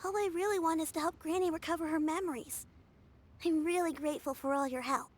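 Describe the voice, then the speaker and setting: A woman's voice: very high voice, steady tone, fast talker. A woman voicing a sweet, earnest young girl in an anime video game, with childlike informal speech. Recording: game music behind the voice.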